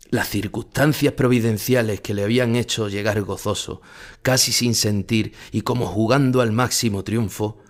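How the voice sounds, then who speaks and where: Ageing retired matador, played by a male actor, low-pitched, wistful and brooding, for an audio drama monologue. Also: lightly acted. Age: older. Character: ageing melancholy retired Spanish bullfighter musing on his past.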